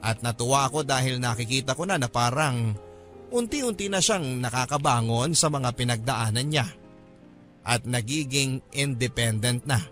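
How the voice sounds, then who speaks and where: A man's voice: mid-range voice, a bit bright, lightly acted. A man with a Filipino accent voicing an earnest, caring letter writer who narrates a radio drama in a respectful storytelling manner. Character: earnest, caring male friend recounting his story by letter. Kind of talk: scripted first-person radio drama narration.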